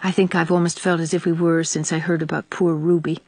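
A woman voicing a gentle, thoughtful young woman in an audiobook. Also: lowish voice, level tone, a little fast, no room sound. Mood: pensive. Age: young adult. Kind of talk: audiobook character voice.

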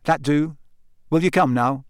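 A man's voice: on the high side, a little slow, clean tone, dry recording, clean studio sound, close to an everyday voice. A man voicing a blunt, impatient teenage boy with a sour, demanding way of talking, in an audiobook. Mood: grinning. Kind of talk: audiobook character acting.

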